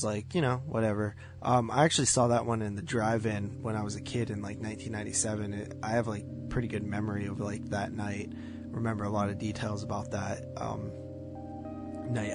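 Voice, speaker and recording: A man co-hosting a podcast, talking about movies in a casual, halting, conversational way. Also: on the low side. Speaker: podcast co-host. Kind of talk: casual movie review chat.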